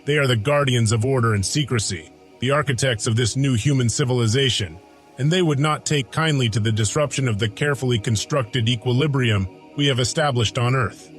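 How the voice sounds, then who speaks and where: A man acts out an ancient alien god, brooding and lovestruck, in an audiodrama. His medium-pitched male voice is solemn and formal, with measured phrases and weighty pauses. Music plays behind him as he narrates in the first person. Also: lightly acted.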